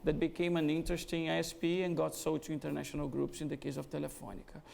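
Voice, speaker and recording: A man's voice: on the high side, little pitch movement, fast talker, creaky, a little mellow. A middle-aged man from Brazil with a Brazilian Portuguese accent, speaking as a guest at a startup event with frequent hesitations. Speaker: guest speaker, business executive. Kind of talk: conversational fireside interview. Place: event venue before an audience.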